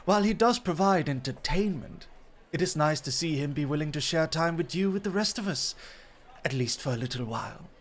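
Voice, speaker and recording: A man acts out a flirty male dog host in an ASMR roleplay club. His voice sits a little high for a man, and he speaks in polished, formal phrases. He is coy and suave, always teasing his rival and guest. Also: expressive, fairly quick, natural voice.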